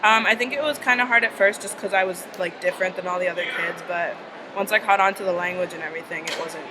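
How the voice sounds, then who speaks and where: A woman's voice: lowish voice, quick pace. A young woman whose first language is Arabic, answering interview questions in an easygoing, reflective way. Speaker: student interviewee. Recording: noisy outdoor recording.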